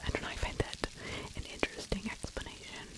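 A middle-aged woman whispering true crime ASMR close to the microphone, breathy, with opinionated conversational asides. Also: quick pace. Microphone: close microphone with furry windscreen.

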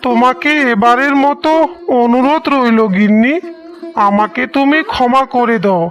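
A woman playing a humbled husband and elder brother in a cartoon melodrama, apologetic and pleading in a deliberate way. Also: a little high, fairly even intonation, lightly acted. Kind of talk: slow melodramatic cartoon dialogue. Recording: music under the voice.

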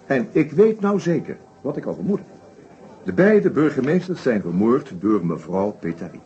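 A male actor plays a methodical, shrewd police inspector laying out his case in a radio play. The voice is soft-edged and moves up and down with animation, pausing deliberately over small hesitations. The old recording sounds dull. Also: medium pitch, sing-song, lightly acted. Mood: assured, revealing. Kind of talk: Dutch radio play acting.

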